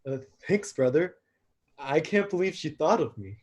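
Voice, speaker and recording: An awkward, lovestruck young god, acted by a man, hesitant and earnest. The voice is husky and swings widely in pitch, in the manner of a staged play reading. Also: animated, moderate pace. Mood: shy, grateful.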